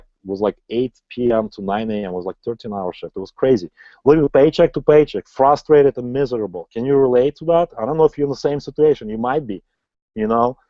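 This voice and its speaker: A man's voice: mid-range voice, dry recording. A man in his forties who is not a native English speaker, giving a motivational sales webinar as an online marketing coach and often ending lines with "right?". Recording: slightly dull lo-fi audio.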